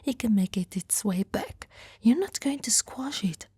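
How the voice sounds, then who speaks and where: A woman's voice: fairly high pitch, big pitch swings, measured pace, a little mellow, slightly rough, dry recording, exaggerated voice. A woman playing an aloof, grumbling giantess, speaking breathily in a dry, dismissive, unimpressed way for an ASMR roleplay. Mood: disgusted, dismissive.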